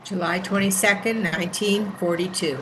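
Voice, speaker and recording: Determined female messenger acted by a woman, medium pitch. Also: steady tone, normal speed, background noise, lightly acted. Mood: solemn. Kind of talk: stage drama, formal dialogue. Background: faint music.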